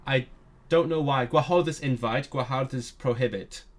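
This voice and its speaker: A male streamer whose first language is Cantonese talks casually to his viewers. His voice sits at an ordinary middle pitch. He sounds eager and nerdy, and he often trips over words and starts them again. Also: moderate pace. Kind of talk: casual live-stream chat.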